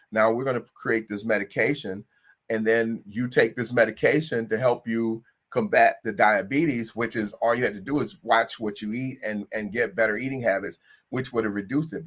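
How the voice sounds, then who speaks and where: A man of sixty hosting a talk, speaking conversationally, repeating phrases and rising in pitch to stress points. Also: a bit bright. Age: 60s. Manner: conversational, repeats himself, rises in pitch for emphasis. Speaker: host. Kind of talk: motivational talk.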